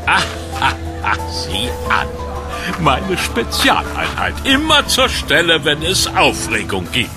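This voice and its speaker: A blustering, bemused small-town police commissioner in a children's radio play. His fairly high voice swings dramatically and lingers on words in slow puzzlement. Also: wildly swooping pitch, over music, exaggerated voice. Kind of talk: children's audio drama acting.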